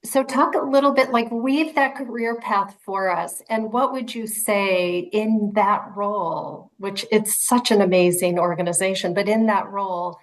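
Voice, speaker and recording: A woman's voice: medium pitch, a little slow, hardly any pauses, poor audio. A woman in her sixties hosting an interview over a video call, asking long questions full of fillers and restarts. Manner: warm, rambling questions with fillers. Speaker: interviewer, host. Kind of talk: casual interview. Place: small room at home.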